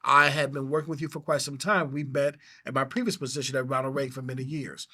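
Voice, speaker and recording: A man's voice: medium pitch, flat delivery, fast talker, sharp tone. A man hosting a school interview, effusive and full of praise and affirmations. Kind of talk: interview host.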